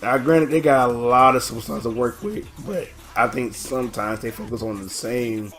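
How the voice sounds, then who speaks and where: Hoarse guy rambling on his channel, mid-pitch, stops and restarts a lot, faint music behind. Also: fairly even intonation, fairly bright tone. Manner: rambling, halting, many restarts and fillers. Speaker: channel host. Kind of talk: casual reaction video.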